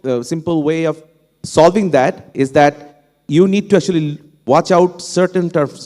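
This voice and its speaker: A man from Bangladesh, a native Bangla speaker with a Bangladeshi accent, speaking on a panel in a halting way, with many fillers and restarts. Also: a little high, a little mellow. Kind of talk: unscripted panel talk. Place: conference hall. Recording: long hall reverb.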